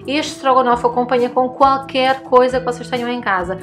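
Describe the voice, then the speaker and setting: A woman's voice: sits high, fairly even intonation, normal speed, soft background music. A woman in her thirties with a European Portuguese accent, hosting a cooking tutorial and talking directly to her viewers in a friendly, instructive way. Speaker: cooking channel host. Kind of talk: recipe tutorial voice-over. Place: home room with bookshelves.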